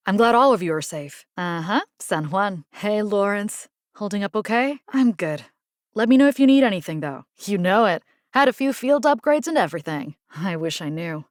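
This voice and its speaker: A woman's voice: mid-range voice, no room sound, studio quality, natural voice. An actress playing a caring, tough young woman survivor in a video game, talking in a casual, wry way. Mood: warm, casual. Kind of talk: video game character dialogue lines.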